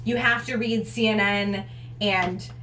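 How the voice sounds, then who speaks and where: A woman's voice: medium pitch, steady tone, a little slow, pushed and bright. A woman in her twenties chatting casually to camera as a vlog co-host. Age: 20s. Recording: roomy echo, distant mic, noisy.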